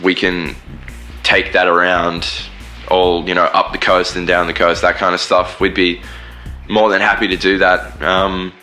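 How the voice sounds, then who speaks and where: Low voiced aussie singer guy rambling thru his answers, forceful tone. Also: level tone, unhurried, faint music behind. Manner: rambling, many fillers and restarts. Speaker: band singer and guitarist. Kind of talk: casual interview chat.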